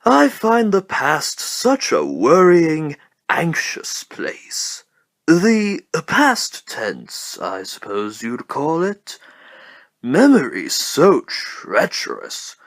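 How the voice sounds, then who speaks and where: Mad clown supervillain: slow, sardonic showman delivery, huge pitch swings, cartoon menace, dry close sound. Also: wildly swooping pitch, vocal fry, a bit bright, dry recording, exaggerated voice. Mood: brooding menace.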